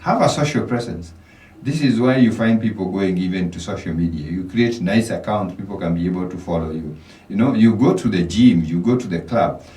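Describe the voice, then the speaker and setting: A man's voice: sits low, fairly quick, warm tone, roomy sound, recorded from a distance, low-quality recording. A Kenyan man, a financial coach, giving motivational advice as a radio guest, often repeating words as he starts a thought. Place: radio studio.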